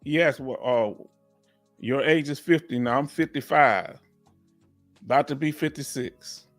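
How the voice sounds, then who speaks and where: A man's voice: mid-range voice, unhurried, soft background music. A man in his fifties hosting a casual live Q&A, talking folksy and loose, repeating himself and urging viewers to speak up. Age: 50s. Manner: folksy, repeats himself, coaxes the audience to join. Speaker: livestream host and veteran claims advisor.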